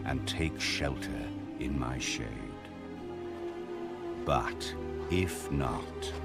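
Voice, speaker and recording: A man narrating scripture in a solemn, measured and dramatic style. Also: extremely low pitch. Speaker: audio Bible narrator. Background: background music.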